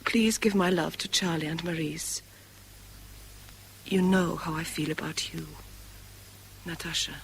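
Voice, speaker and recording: An actress in a vintage British radio drama playing a troubled, secretive lover, earnest and hesitant. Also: female voice, deep voice, steady tone, a little slow, creaky, pauses often. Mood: apologetic, bewildered. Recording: faintly hissy vintage radio drama recording.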